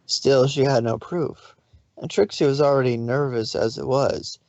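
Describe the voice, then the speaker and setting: A woman's voice: a bass voice, unhurried, warm tone, no room sound, lo-fi sound. A woman reading scripted story narration as the narrator of a fan fiction.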